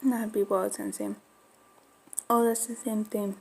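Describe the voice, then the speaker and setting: Woman w/ a slight mexican spanish accent, gravelly flat voice, rambling storytime vlog. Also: mid-range voice, moderate pace, poor audio. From Florida, USA. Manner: rambling, confiding, many fillers. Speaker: bulldog breeder vlogger.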